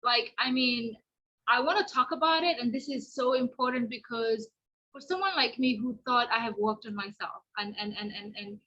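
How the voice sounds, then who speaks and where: Bright, husky earnest woman guest. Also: on the high side, moderate pace, muffled recording. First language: Somali. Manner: earnest, reflective, many restarts. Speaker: podcast guest. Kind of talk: casual podcast conversation. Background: washing machine noise.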